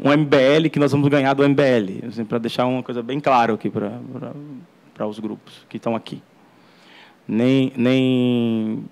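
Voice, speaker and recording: A man's voice: mid-range voice, a little mellow. A man giving an academic lecture in an auditorium, earnest, often restarting and repeating words. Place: university auditorium.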